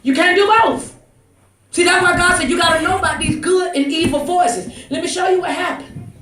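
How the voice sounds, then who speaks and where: A woman's voice: very high voice, moderate pace, fairly bright tone. A woman preacher giving a fervent sermon, exhorting emphatically, swinging between conversational asides, scripture reading and raised-voice declarations. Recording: echoey room, distant mic, faint noise.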